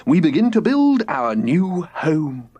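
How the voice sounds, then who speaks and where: A man's voice: fairly high pitch, very animated, slow, mellow, dull audio, voice pushed up, exaggerated voice. A man playing a pompous nature-loving dad. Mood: lofty. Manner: lofty, theatrical, self-important. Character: pompous back-to-nature father, middle-aged man.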